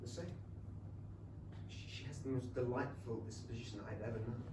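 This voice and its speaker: A man acting an earnest, lovelorn period gentleman in a staged reading, with a halting delivery. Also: medium pitch, steady tone, natural voice. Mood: tentative, tender. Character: earnest lovelorn gentleman torn between duty and love. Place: small London theatre stage, filmed. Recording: roomy theatre, distant mic, noisy room tone.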